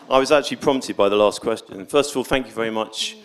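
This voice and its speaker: A man's voice: medium pitch, brisk. A British man speaking from the audience in a friendly way. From Britain. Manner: friendly, laughs lightly. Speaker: audience member.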